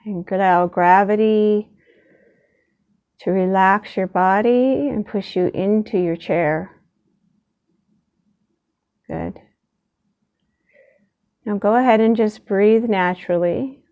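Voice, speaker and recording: Slow, very mellow woman guiding meditation. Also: medium pitch. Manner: soothing, unhurried, with long pauses between short phrases. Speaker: guided meditation leader. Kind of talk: gentle guided meditation.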